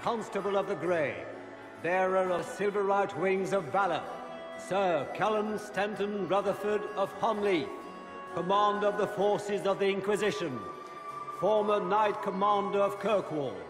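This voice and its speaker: An actor playing a pompous court herald in a fantasy game, grandly and ceremoniously proclaiming in an echoey hall. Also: male voice, sits high, unhurried, music in the background. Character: pompous court herald announcing noble guests. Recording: echoey great hall, long reverb.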